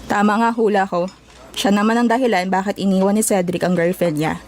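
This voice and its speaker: A woman's voice: mid-range voice, fairly even intonation, normal speed, a little mellow, slight background noise, lightly acted. An actress playing a feisty, brash young Filipino woman with a blunt, slangy delivery in an audio drama. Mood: teasing, animated. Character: feisty, brash young woman, combative but loyal friend.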